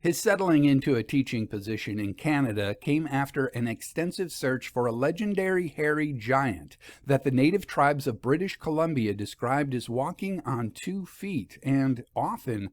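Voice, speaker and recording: A man narrating a literary story from a script in a measured, expressive way. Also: mid-range voice, no echo.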